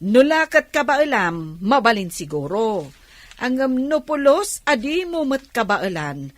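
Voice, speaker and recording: Actress as high-pitched, scornful Ilocano auntie. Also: female voice, very animated, measured pace, no room sound. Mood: mocking. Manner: mocking, wheedling, exclamatory. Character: nosy, scornful auntie who mooches money from relatives. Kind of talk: Ilocano comedy radio drama acting.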